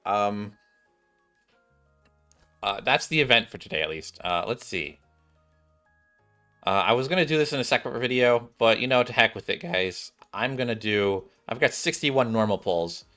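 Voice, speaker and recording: A man's voice: on the low side, moderate pace, long pauses, sharp tone. A man hosting a gaming video, giving casual, chatty commentary addressed straight to his viewers. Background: game music.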